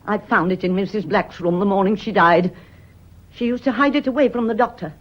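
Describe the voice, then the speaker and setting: An actress playing a loyal household servant in a radio play, deferential but defensive and easily affronted. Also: female voice, mid-range voice, a little fast, lo-fi sound, close to an everyday voice. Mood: wary, defensive. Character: indignant, loyal female household servant under questioning.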